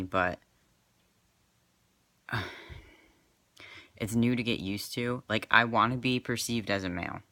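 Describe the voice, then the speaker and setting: Man, 20s, casual vlog; low, flat, crisp delivery, hesitant with lots of fillers. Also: dry recording. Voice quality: slightly crackly. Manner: soft-spoken, hesitant, many fillers. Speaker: vlogger.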